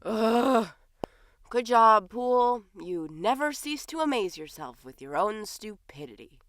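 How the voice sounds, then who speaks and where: Woman playing flustered impostor king, animated delivery. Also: a little high, measured pace. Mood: frantic, exasperated. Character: flustered human man posing as a fairy king, defensive. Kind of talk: audio drama acting.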